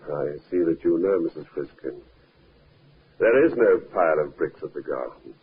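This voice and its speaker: An actor playing a calm, shrewd police inspector in a 1950s radio drama, measured, probing and understated. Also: male voice, extremely low pitch. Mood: shrewd, firm. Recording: narrow-band, hissy 1950s radio recording.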